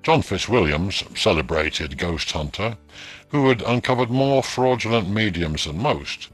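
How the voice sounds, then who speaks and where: A man with a low voice narrates a scripted ghost tale. His storytelling is measured and dramatic, and music plays clearly behind him. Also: expressive.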